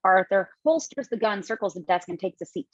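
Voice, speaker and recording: A woman's voice: medium pitch, lively intonation, a little fast, husky, no echo, highs cut off. A woman reading stage directions aloud in a table read, plainly, with casual asides.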